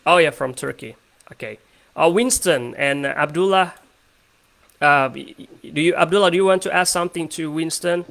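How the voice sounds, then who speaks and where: A man in his twenties from Indonesia, hosting English speaking practice in a relaxed tone with lots of fillers. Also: a little high, moderate pace, vocal fry. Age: 20s. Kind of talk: casual conversation practice.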